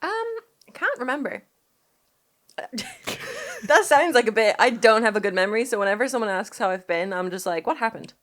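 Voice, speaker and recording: A woman's voice: a little high, animated, normal speed, pauses often, fairly bright tone. A woman guesting on a casual podcast, giggling through laid-back banter.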